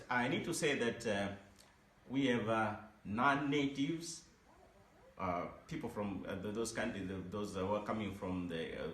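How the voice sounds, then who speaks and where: A man from Zimbabwe, a teacher giving informal advice in a halting way, full of restarts and repeated words. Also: sits low, a bit bright. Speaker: English teacher. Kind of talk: informal advice talk to camera. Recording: echoey room, faint background noise.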